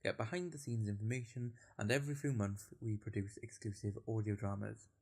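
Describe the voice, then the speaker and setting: A man's voice: lowish voice, a little fast, gravelly, darkish tone. A man reading scripted credits as an announcer.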